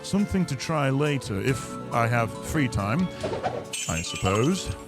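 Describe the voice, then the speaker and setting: Guy acting a stuffy formal prosecutor from a video game, slow and lively pitched, a bit high, music behind. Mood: curt, aloof. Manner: measured, formal, haughty. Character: pompous, cerebral gentleman prosecutor, stern and self-assured.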